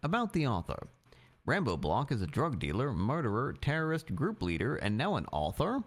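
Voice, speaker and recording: A man's voice: medium pitch, wildly swooping pitch, normal speed. A young man reading dramatically and expressively while playing a judge in a courtroom roleplay.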